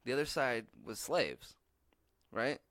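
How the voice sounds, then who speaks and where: A man's voice: mid-range voice, lively intonation, slow pace, a bit bright, no room sound, clean studio sound. A man chatting casually as a podcast co-host, rambling and restarting, with a lot of swearing. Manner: rambling storyteller, frequent restarts and profanity. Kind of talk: casual chat.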